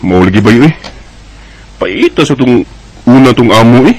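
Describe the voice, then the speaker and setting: A man's voice: mid-range voice, expressive, moderate pace, darkish tone, natural voice, low-quality recording. A man with a Bisaya accent playing a hungry, grumbling young labourer and loyal workmate in a radio drama. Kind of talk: amateur radio drama dialogue.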